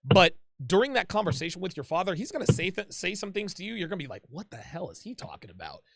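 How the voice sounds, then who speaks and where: A man's voice: sits high, very animated, fast, vocal fry, background noise. A man hosting a live call-in advice show, talking casually, repeating himself and calling people "dude".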